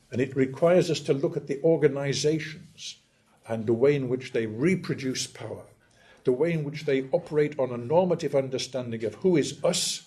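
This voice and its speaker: An older man from northeast England, giving a measured, emphatic academic lecture and often clearing his throat. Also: mid-range voice, darkish tone. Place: lecture hall.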